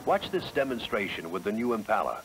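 A man's voice: fairly low pitch, very animated, fairly quick, soft background music, poor audio. An American man reading scripted 1970s promotional narration with a polished, emphatic announcer delivery, on a vintage film soundtrack.

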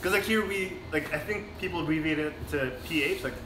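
Young man from the philippines, rambling casual chat, slightly higher voice, echoey room, clear noise behind. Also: fairly quick. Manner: rambling, many fillers and restarts. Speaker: streamer and co-host. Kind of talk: casual live-stream chat. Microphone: phone.

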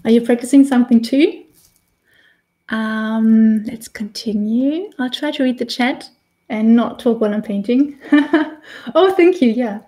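German-accented woman in her thirties, warm and slightly high, relaxed chatty live-stream teacher. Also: unhurried, long pauses. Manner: chatty, laughs often, restarts while painting. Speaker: face painting tutor. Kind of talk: casual live-stream tutorial. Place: small indoor room with decorated wall.

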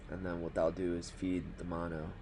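A man calmly explaining a fishing knot step by step at a desk indoors. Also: sits low, noisy background. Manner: calm, matter-of-fact, unhurried. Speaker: fly fishing tutorial host. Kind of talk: step-by-step instructional talk. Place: small indoor room at a desk.

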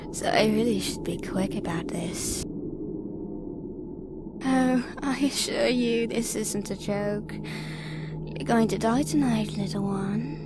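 A woman's voice: on the high side, slow, long pauses, heavy background noise. A woman voicing a malicious female ghost who has possessed a young woman, taunting with cruel laughter. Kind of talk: audio drama acting.

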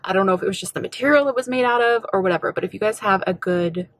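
A woman's voice: mid-range voice, rapid-fire. A young woman vlogger in her twenties chatting casually to camera about her shopping haul from a parked car, bubbly and giggly with lots of fillers. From United States. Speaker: beauty vlogger. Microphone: camera on dashboard at arm's length.

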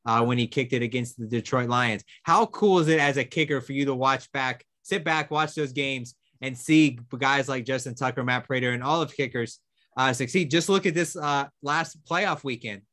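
A man's voice: medium pitch, normal speed, sharp tone, no room sound. A young man hosting a casual question and answer session from a small indoor studio. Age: young adult. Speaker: host.

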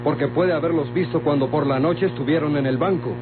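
An actor playing a stern, suspicious Mexican investigating lawyer in a vintage radio drama. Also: male voice, a little high, fairly quick, natural voice. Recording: tinny vintage radio recording with hum, music.

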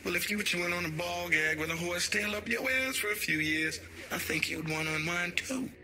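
An actor playing a flamboyant, laid-back pimp in a video game, slangy and crude, through a musical autotuned voice box. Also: male voice, high-pitched, a little fast, bright, forceful tone, faint music behind. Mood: easygoing. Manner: slangy, smooth-talking.

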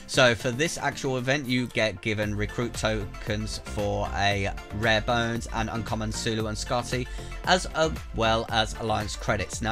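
A man's voice: mid-range voice, bright, forceful tone. A man with a cold, bunged up, casually narrating a game tutorial over gameplay and giving chatty, direct advice to viewers. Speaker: gaming YouTuber. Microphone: voice-over over gameplay capture.

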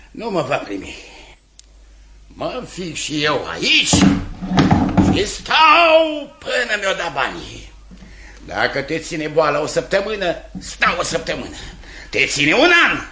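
A hot-tempered, boorish creditor in a 1950s radio play. His voice is high and piercingly forceful, swinging widely as he blusters and grumbles. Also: wildly swooping pitch, pauses often, exaggerated voice. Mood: stubborn, scornful. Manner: bellowing, blustering, grumbling to himself. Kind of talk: 1950s radio theatre acting.

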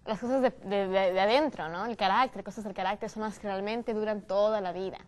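A woman's voice: medium pitch, steady tone, fairly quick. A young woman from Ecuador on a studio panel, friendly and reflective. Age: young adult. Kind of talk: casual discussion.

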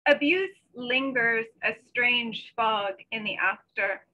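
Female poet, middle-aged, high forceful voice, unhurried delivery, slightly dull video-call sound. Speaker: poet reading own work. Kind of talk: poetry reading with informal introductions. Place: small bedroom at home. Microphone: computer mic on a video call.

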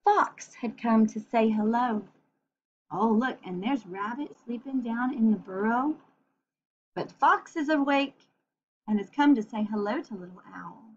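A woman reads a picture book to young listeners at a very unhurried pace, in a fairly high voice. She is gentle and expressive, breaking off for chatty asides. The webcam recording is a little dull and the voice is somewhat husky. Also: slight background noise. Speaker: storyteller reading a picture book. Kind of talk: read-aloud story time with chatty asides for children. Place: small room at home. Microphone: computer webcam at arm's length.

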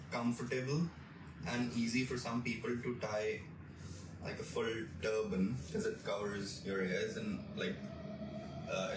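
A young man giving a casual how-to demonstration, explaining step by step in a halting way as he works with his hands. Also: fairly low pitch, noisy background. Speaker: tutorial presenter. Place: small bedroom, indoors. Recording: roomy echo, distant mic, music behind. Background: background music.

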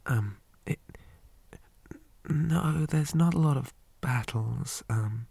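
A man playing a shy, polite zombie boy in an ASMR roleplay, speaking softly, often in whispers, hesitant and flustered with old-fashioned manners. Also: mid-range voice, slow, no room sound, exaggerated voice. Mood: nerdy, eager. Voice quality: soft, breathy, often whispered.

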